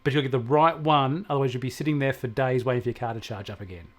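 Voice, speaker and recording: A man's voice: medium pitch, fast. An Australian man co-hosting a casual tech talk, explaining things in a plain-spoken, opinionated way. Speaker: technology show co-host and expert.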